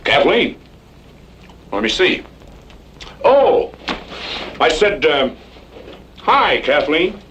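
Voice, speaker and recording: An actor playing a joking, evasive outlaw cowboy with a folksy, sardonic manner, in an old radio western. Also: male voice, a little high, very animated, extremely slow, long pauses, fairly bright tone, dull audio, exaggerated voice. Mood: mock innocence. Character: cocky, joking outlaw cattle rustler, cowboy drawl. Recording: noisy old radio drama recording.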